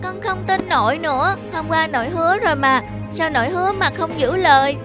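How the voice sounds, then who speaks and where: High-voiced earnest granddaughter. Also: female voice, a little fast. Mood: upset. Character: earnest, affectionate young granddaughter in a cartoon. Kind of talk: cartoon dubbing. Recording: music behind the voice.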